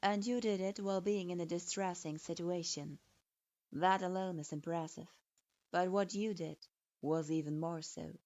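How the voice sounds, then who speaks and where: A woman voicing an imposing male clan head who speaks calmly, in a measured and patronizing way, recorded with a dull lo-fi sound. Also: fairly low pitch, no room sound, natural voice.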